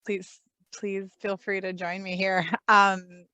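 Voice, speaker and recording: A woman team member speaks on a video call at a very slow, unhurried pace. Her voice sits in a middle range, and the sound is dry and close. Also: mid-range voice, level tone, sharp tone, smooth, dry recording. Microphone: computer mic on a video call.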